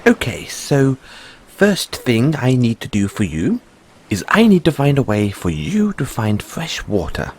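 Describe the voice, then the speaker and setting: A man playing a proud, secretive merman prince in an audio roleplay, speaking formally and deliberately, courteous but wary of humans. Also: medium pitch, huge pitch swings, slightly rough, heavily acted. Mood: businesslike, courteous. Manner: formal, deliberate, measured phrasing with dramatic pauses. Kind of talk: scripted audio roleplay addressed to the listener.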